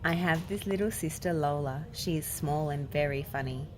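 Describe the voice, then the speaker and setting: A woman's voice: deep voice, moderate pace, noisy background. A woman reading a children's picture book aloud as narrator. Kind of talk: storybook read-aloud narration.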